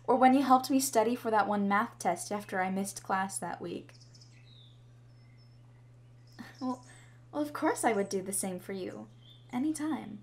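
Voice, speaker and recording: A woman's voice: mid-range voice, natural voice. Woman doing a sweet shy girl crushing on you, rambly and giggly asmr roleplay, outdoor bird noise in the back. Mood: bashful, affectionate. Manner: bashful, hesitant, chatty, giggly. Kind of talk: ASMR roleplay, scripted to the listener. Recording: clearly audible background ambience noise.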